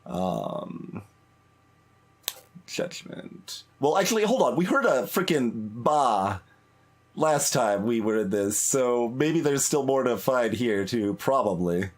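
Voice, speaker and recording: Man, mid-range voice, casual let's play commentary, slow pace, musing, trails off mid-thought. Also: medium pitch, expressive. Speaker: streamer, let's play host. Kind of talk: casual live game commentary, thinking aloud. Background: game music.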